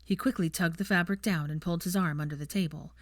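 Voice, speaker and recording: A woman's voice: on the low side, fairly even intonation, fast, no room sound, clean studio sound. A woman reading fiction aloud as scripted audiobook-style narration.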